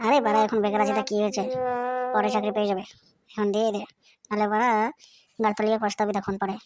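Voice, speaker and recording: A woman's voice: mid-range voice, completely flat delivery, quick pace, a little mellow, no room sound, natural voice. A woman voicing a brash, cocky young man in a comic cartoon. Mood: argumentative.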